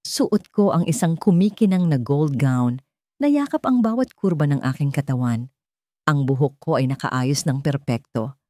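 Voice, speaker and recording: A woman's voice: low-pitched, lively intonation, normal speed, dark tone, no room sound, clean studio sound. A woman with a Filipino accent reading a scripted story in the first person as a dramatic audiobook narrator.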